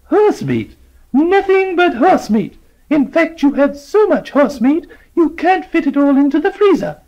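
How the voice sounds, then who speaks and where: A man voicing a cranky, hard-of-hearing old lady in a storybook, shrill and suspicious. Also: very animated, a little slow, darkish tone, muffled recording, full character voice. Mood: triumphant.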